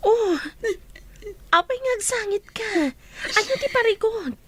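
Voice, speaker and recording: Woman acting a concerned, animated Ilocano confidante. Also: very high voice, measured pace. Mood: tender concern. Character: concerned young female confidante, inquisitive and caring. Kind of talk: Ilocano radio drama acting.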